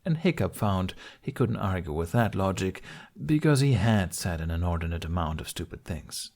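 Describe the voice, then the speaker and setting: A man narrates fiction for an audiobook. His voice is fairly low with a noticeable creak. He reads scripted prose as a storyteller. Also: expressive, moderate pace, a little mellow.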